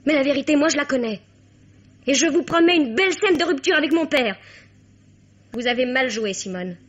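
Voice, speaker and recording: A teenage girl in a vintage radio mystery. Her voice is very high, impassioned and accusing, full of wounded pride. The sound is dull and lo-fi. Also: lively intonation, fairly quick. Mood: wounded, bitter. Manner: accusing, impassioned, formal address. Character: indignant, wounded teenage girl confronting her father's mistress. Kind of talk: 1950s French radio mystery acting.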